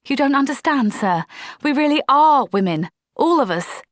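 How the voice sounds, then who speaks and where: A woman's voice: high voice, animated, moderate pace, no echo, studio quality, voice pushed up. A woman reading aloud, voicing an earnest young woman soldier in a storybook. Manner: firm, apologetic, dutiful.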